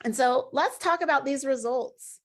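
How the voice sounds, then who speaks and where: Upbeat high-voiced woman host. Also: normal speed. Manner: upbeat and encouraging. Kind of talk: presenting on a webinar.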